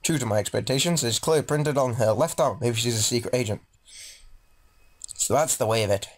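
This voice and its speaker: A man's voice: medium pitch, a little fast. A man doing a let's play, reading visual novel narration aloud dramatically and adding casual, jokey asides. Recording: game music behind the voice.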